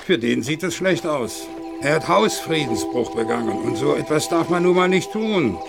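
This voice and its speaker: An actor playing a weary police officer in an audio drama, gravelly and resigned, explaining things matter-of-factly. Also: male voice, on the high side, expressive, moderate pace, music in the background. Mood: resigned, sober. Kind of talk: German audio drama acting for young listeners.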